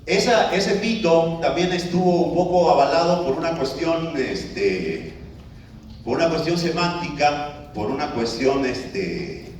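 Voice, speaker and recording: A man's voice: on the high side, expressive, measured pace, a little hiss or hum. A man giving a live talk to an audience, in a conversational way with many filler words and restarts. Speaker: speaker addressing an audience. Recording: echoey room, picked up from a distance.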